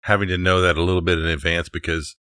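A man's voice: low voice, flat delivery, fast talker, sharp tone, no echo, studio quality. A man co-hosting a podcast, talking in an informal, agreeable, conversational way. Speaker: podcast co-host, architecture teacher. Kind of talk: casual conversational podcast talk.

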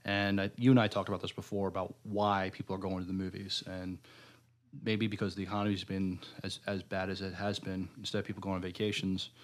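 A man's voice: low-pitched, little pitch movement, fairly quick. A man co-hosting a podcast in casual chat. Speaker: podcast co-host.

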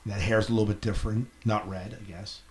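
A man's voice: fairly low pitch, steady tone, a little fast, slight background noise. A man hosting a casual podcast discussion, reasoning aloud in a hesitant way with repeated phrases. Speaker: podcast host.

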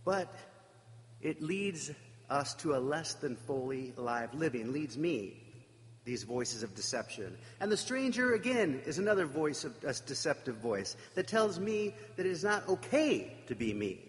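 A man preaching a reflective sermon, emphatic at times and pausing for effect. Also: fairly high pitch, very animated, in a large hall. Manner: reflective, emphatic with dramatic pauses.

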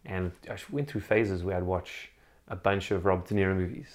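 A man's voice: low voice, brisk. A man from Africa, chatting casually as a podcast guest and rambling with fillers. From South Africa. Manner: relaxed, rambling with fillers and laughs. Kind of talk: casual chat.

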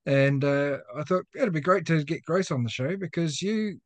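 A man's voice: fairly high pitch, moderate pace, no room sound. A man hosting a podcast and chatting casually as he interviews a guest.

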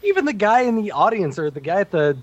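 A man talks casually as a podcast co-host, his pitch moving widely as he jokes and plays incredulous. The sound is dry, with almost no room around it. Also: very animated, quick pace, no room sound, dull audio. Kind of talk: casual TV episode discussion.

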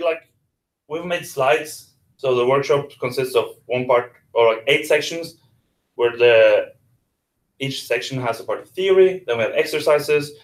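A man guest explaining a workshop in a casual interview, with hesitant restarts. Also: medium pitch. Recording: echoey room.

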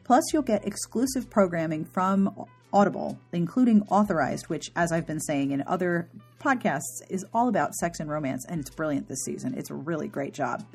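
A woman's voice: low-pitched, moderate pace, creaky, a little mellow, breathy edge, soft background music. A woman podcast host giving a chatty, informal and enthusiastic intro with playful asides.

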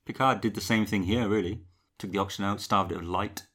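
A man's voice: fairly low pitch, steady tone, fast, fairly bright tone, slightly rough. A young man co-hosting a podcast, recapping a TV episode in a chatty, rambling way. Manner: chatty, rambling with fillers and laughs. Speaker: podcast co-host. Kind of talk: casual chat recapping a TV episode.

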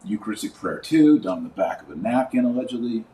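Low-voiced American man in an echoey room. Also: very animated, fast, warm tone. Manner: emphatic, repeats and lists items for effect. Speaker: podcast host; online course teacher. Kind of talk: opinionated podcast monologue, informal commentary. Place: indoors at a desk. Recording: roomy echo, distant mic, faint noise.

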